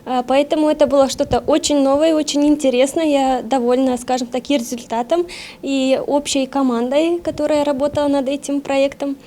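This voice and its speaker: A woman's voice: high voice, steady tone, a little fast, dark tone. A woman giving a short interview statement. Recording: faint background noise on location.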